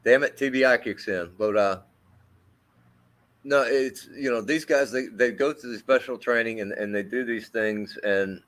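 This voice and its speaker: A middle-aged army veteran with a deep, carrying voice tells a personal story in an interview, speaking haltingly with many fillers and restarts. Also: male voice, a bit bright.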